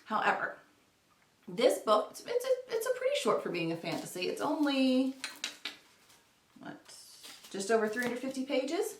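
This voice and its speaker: Animated woman chatting in an echoey room. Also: sits high, normal speed, long pauses, slight background noise. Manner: chatty, rambling, self-correcting. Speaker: book vlogger. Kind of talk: casual book chat to camera. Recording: roomy, echoey home recording.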